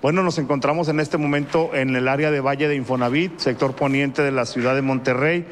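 A man's voice: medium pitch, fairly even intonation, a little fast, slight background noise. A middle-aged man from Mexico, reporting live from a street, speaking off the cuff with fillers and restarts. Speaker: field reporter. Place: outdoors on a residential street. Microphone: handheld mic.

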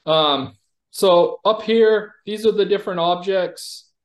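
A man giving a conversational product demo over a video call, explaining like a company executive presenting software. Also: high-pitched, slow.

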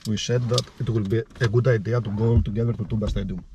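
Greek-accented man over loud car noise. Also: fairly low pitch, steady tone, fast talker. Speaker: host, club staff member. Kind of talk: casual chat while driving. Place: inside a moving car.

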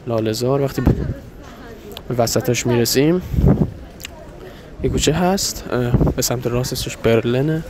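A man's voice: medium pitch, very animated. Man, walking vlog narration, conversational and musing, outdoor camera mic, heavy street noise. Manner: musing, conversational, with filler pauses. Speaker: walking tour vlogger. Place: busy outdoor shopping street. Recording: loud street traffic noise behind.

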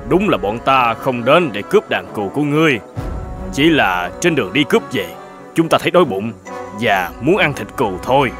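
An actor playing a threatening, greedy bandit in an animated folk tale, giving orders in a commanding manner. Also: male voice, mid-range voice, brisk, music in the background, close to an everyday voice. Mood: menacing. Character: menacing, domineering male bandit, greedy and hungry.

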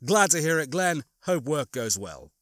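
A man's voice: a little high, very animated, moderate pace, a bit bright, dry recording, clean studio sound. A man hosting an audiobook channel, chatting to his listeners and reading out comments with upbeat, swooping emphasis.

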